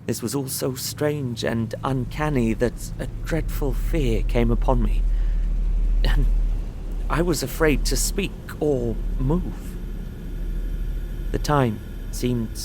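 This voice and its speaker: A young man playing a frightened, earnest solicitor recounting his journey in a formal, expressive audio-drama narration. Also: medium pitch, gravelly, background noise. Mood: awed, fearful. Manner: formal, expressive, deliberate storytelling. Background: music behind the voice.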